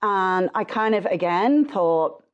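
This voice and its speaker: A middle-aged Irish woman with a low alto voice, chatting as an interview guest in quick, self-deprecating bursts. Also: lively intonation, dull audio. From Ireland. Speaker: singer-songwriter guest. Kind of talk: casual interview chat.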